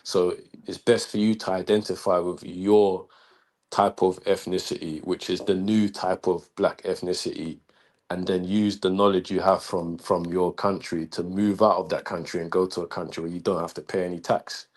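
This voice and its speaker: A man with a deep voice speaks as a guest on an online talk stream. He lays out his views in an assertive, lecturing way, talking in long runs with few pauses. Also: level tone, a little mellow. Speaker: guest caller. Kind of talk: casual online talk-show chat.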